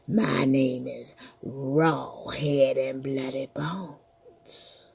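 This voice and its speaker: A woman voicing a cruel male skeleton ghoul who taunts in a deep, drawn-out drawl. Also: fairly even intonation, creaky, a little mellow, breathy edge, full character voice. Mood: menacing.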